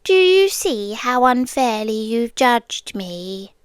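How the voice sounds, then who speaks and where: A British woman playing a possessive, menacing yandere girlfriend in an ASMR roleplay, soft-spoken and deliberate, often slipping into whispers, sweetly condescending. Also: a little high, very animated, clear, resonant tone, no room sound, clean studio sound. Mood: wounded, coy. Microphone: close, dry microphone.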